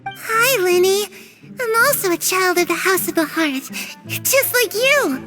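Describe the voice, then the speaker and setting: Sweet little girl, extremely high voice, swooping intonation, friendly, game character, music behind. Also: expressive, a little slow. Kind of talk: scripted video game voice acting.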